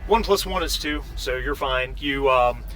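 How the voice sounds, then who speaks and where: A man acting as game master in a tabletop role-playing game, explaining rules and scenes in a halting way with repeated starts. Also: medium pitch, bright, forceful tone, faint music behind. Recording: faint background noise.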